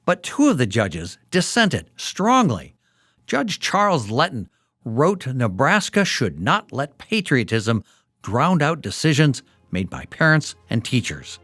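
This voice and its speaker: A man hosting a history podcast, narrating in a clear storytelling manner. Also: mid-range voice, big pitch swings, slow, a bit bright, dry recording, clean studio sound. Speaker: podcast host. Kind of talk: scripted documentary narration.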